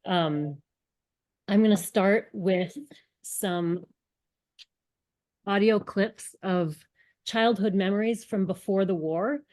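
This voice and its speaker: A woman's voice: mid-range voice, takes it slowly, long pauses, a little mellow. A woman giving an earnest, explanatory lecture, with frequent ums. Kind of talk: synagogue lecture presentation.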